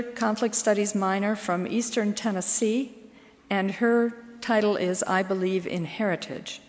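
A woman teacher giving plain, matter-of-fact introductions of student speakers. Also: mid-range voice. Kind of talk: brief introductions at a convocation. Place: campus convocation hall. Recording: reverberant hall.

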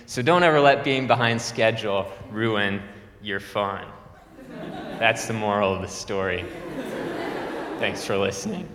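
A man's voice: fairly low pitch, lively intonation, a little slow, long pauses, a bit bright, a little hiss or hum. A young man from Nebraska, a student telling a true story to an audience in a chatty way, laughing often and using lots of fillers. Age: young adult. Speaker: student speaker. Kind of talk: storytelling speech. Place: lecture hall. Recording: echoey hall with long reverb.

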